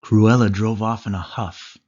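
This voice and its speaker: A man reading a children's story aloud as storyteller, with expressive narration. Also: on the low side, a little slow, muffled recording.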